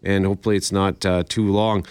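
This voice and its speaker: A man's voice: sits low, little pitch movement, a little fast, clear, resonant tone, dry recording. A man co-hosting a radio show in easygoing, casual chat, a bit sniffly from allergies. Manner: easygoing, chatty with restarts.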